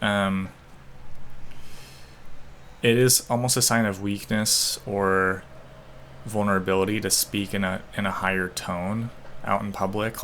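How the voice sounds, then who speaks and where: A man's voice: lowish voice, gravelly, a bit bright, a little hiss or hum. A young man in casual solo talk, reflecting aloud in a hesitant, rambling way with many restarts. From United States. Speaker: podcaster. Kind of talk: casual solo talk while taking an online quiz.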